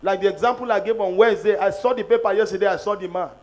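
Fervent Nigerian pastor shouting prayers. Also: male voice, high voice, racing. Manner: fervent, shouting declarations, repeating lines for the crowd. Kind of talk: prophetic prayer sermon. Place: large church auditorium, on a stage at a pulpit. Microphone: handheld microphone.

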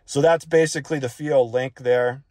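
A man's voice: mid-range voice, steady tone, normal speed, darkish tone, no echo, clean studio sound. A man talking casually and off the cuff through a device tutorial, conversational and often checking in with the listener. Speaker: tech reviewer giving a device walk-through. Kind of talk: casual, unscripted tutorial talk.

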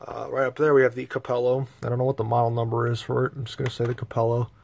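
An American man with a slightly gravelly voice giving a casual, halting, rambling video tour indoors on a handheld phone. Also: mid-range voice, completely flat delivery, darkish tone, slight background noise. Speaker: host, hobbyist collector. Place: small bedroom, indoors. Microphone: handheld phone, speaker behind the camera.

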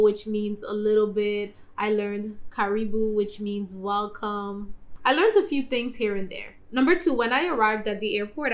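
A young woman vlogging travel tips to camera from a bedroom, chatty and direct. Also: mid-range voice. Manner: chatty, direct, addresses viewers as guys. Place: indoor bedroom.

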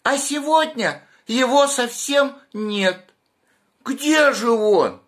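A small, curious hedgehog boy from a storybook, high and eager, his bright voice leaping up with every question, as a man puts him on. He talks slowly, as if puzzling things out aloud. It sounds close and clear, taped in a small room at home. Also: lively intonation, full character voice. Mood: wondering.

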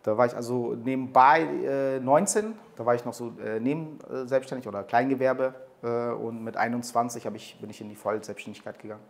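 A man in his thirties from Portugal, a guest talking in a relaxed way with plenty of hesitations. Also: mid-range voice, fairly quick, vocal fry, hardly any pauses. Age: 30s. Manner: relaxed, hesitant with many fillers. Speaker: guest, entrepreneur. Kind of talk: interview conversation. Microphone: desk microphone on boom arm.

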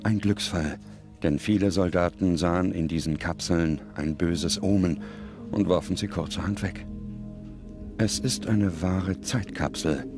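A man reading scripted documentary narration in a solemn, measured manner with dramatic pauses. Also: low-pitched. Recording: loud music under the voice. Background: music.

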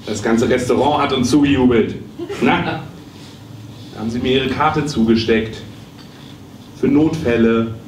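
A man playing an insistent, boozy politician who talks in halting short phrases in a live comedy radio play. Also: medium pitch, a little mellow, natural voice. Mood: insistent, pleading. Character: insistent male politician, boozy old acquaintance. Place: small dark theatre stage, live. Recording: distant, echoey, noisy stage recording.

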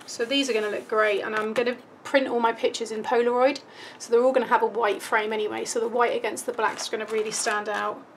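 A woman's voice: on the high side, level tone, fast talker, hardly any pauses, a little hiss or hum. A British woman giving a casual, chatty step-by-step craft tutorial, thinking aloud as she goes, her voice starting to give out. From United Kingdom. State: starting to lose voice. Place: indoors at a craft table.

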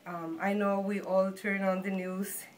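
A woman from Guatemala with a Guatemalan Spanish accent, giving an informal spiritual talk in an earnest, reassuring, halting manner. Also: medium pitch, level tone. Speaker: shaman and healer, guest. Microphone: phone handed over.

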